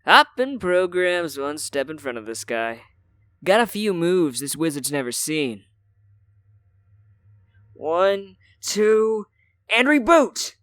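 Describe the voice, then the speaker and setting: A man's voice: high voice, big pitch swings, slow pace, long pauses, a bit bright, clean tone, no room sound, studio quality, exaggerated voice. A young man playing a cocky teenage hacker sidekick in a comic dub, brash and slangy. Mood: triumphant. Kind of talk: comic book dub acting.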